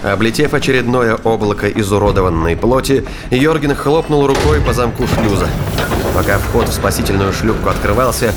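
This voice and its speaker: A man's voice: lowish voice, a little fast, noisy background. A man giving dramatic scripted narration of a science fiction thriller as an audiobook narrator. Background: prominent music and battle sound effects.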